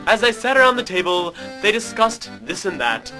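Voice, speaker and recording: Male comic narrator: high, very animated, mock-grand storybook delivery with clear music behind. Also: normal speed. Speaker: narrator and show host.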